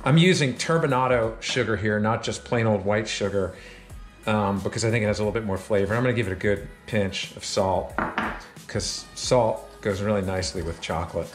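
A man's voice: lowish voice, a little fast. A middle-aged man hosting a home cooking show, talking upbeat and chatty straight to the camera as he demonstrates a recipe in a large home kitchen. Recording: echoey kitchen, soft background music.

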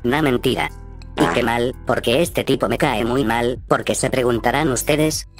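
A man's voice: medium pitch, normal speed, soft background music. A man narrating a scripted, comedic recap of a video game as a voice-over, full of sarcastic asides.

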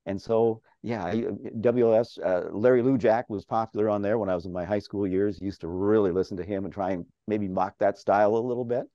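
Older man with a strong mellow voice. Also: fairly low pitch, brisk, hardly any pauses, no room sound. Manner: chatty, animated, laughs often. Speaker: interview guest, radio farm broadcaster. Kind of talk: casual storytelling. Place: home office. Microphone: video call. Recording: dull video-call audio.

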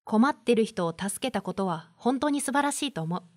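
A woman playing a strict but caring primary school teacher in a voice drama, firm and measured. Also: a little high, lively intonation, darkish tone, dry recording, studio quality. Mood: gentle, firm. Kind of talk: voice drama acting.

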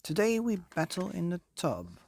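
A jovial storybook king, acted out by a male reader, calls out commandingly and exuberantly. The voice jumps up high and swoops widely, with a bold, merry temper. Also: wildly swooping pitch, normal speed, creaky, background noise, full character voice. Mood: jovial, inviting. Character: jovial, booming bathing king, grown man.

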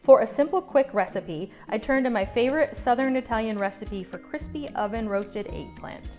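A woman giving calm, scripted step-by-step cooking instructions as a voice-over. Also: a little high, normal speed. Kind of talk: scripted recipe narration. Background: soft background music.

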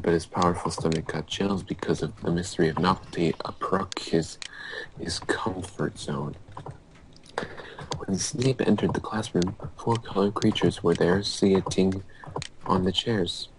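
Young man, deep voice, halting amateur story reader, computer mic on a group call with faint noise and clicks. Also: fairly even intonation. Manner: halting, stumbling over odd wording. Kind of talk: amateur dramatic reading aloud among friends. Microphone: computer mic on a group internet call. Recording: hissy online group call, mouse clicks.

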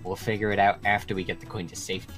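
A man's voice: fairly low pitch, flat delivery, racing, fairly bright tone, soft background music, natural voice. A man playing a self-righteous centuries-old quest leader in an improvised game roleplay, explaining things insistently. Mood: measured, persuasive.

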